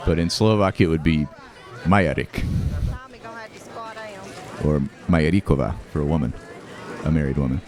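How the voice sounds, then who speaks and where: A man hosting a show, talking casually and reflectively over home movies, with fillers, restarts and soft laughs. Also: extremely low pitch, moderate pace, pauses often, a crowd in the background. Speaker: host.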